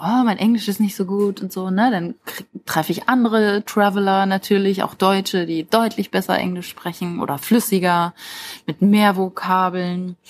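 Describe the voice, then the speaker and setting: A woman giving an informal motivational talk as a life coach, speaking directly and encouragingly to the listener. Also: medium pitch. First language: German.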